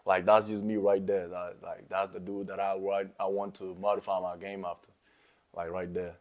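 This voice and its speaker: Young man, from South Sudan, low voice, flat delivery, relaxed, lots of fillers, college athlete interviewee. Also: normal speed. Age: young adult. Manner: laid-back, many fillers like you know and um. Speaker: college basketball player guest. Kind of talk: casual interview answers.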